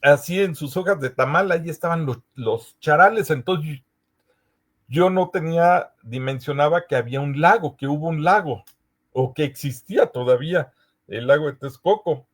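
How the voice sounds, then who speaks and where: A man from Veracruz with a Mexican accent, moderating a session in a casual, hesitant way and pausing often as he searches for words. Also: a little high. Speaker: host of a history conference session. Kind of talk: casual moderating, reading audience comments aloud.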